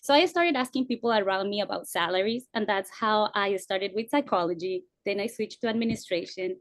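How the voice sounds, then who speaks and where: A woman tells her own story in an earnest, reflective tone. Her voice is mid-pitched. It sounds dry and close, with no room around it. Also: brisk, dry recording. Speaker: former student guest. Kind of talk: personal story on a video call.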